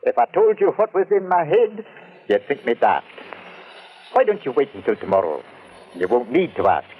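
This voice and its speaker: An actor playing a dour, superstitious estate overseer with a husky voice in an old-time radio drama. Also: male voice, medium pitch, very animated, moderate pace, narrow, tinny audio, a little hiss or hum, lightly acted. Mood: ominous.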